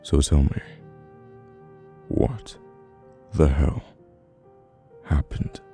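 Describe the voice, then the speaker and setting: A man with a British accent playing a cold, dominant mafia boss in an ASMR audio roleplay, speaking in a measured, commanding way with long deliberate pauses. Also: extremely low pitch, mellow, soft background music, heavily acted. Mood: grim, pressing. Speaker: voice actor. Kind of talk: ASMR audio roleplay, slow dramatic monologue.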